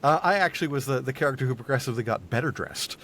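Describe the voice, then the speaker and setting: A middle-aged man in casual interview chat as a podcast guest, speaking haltingly and repeating his words. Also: animated, fairly bright tone. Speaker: podcast guest, voice actor and audio engineer. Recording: faint background noise and low rumble.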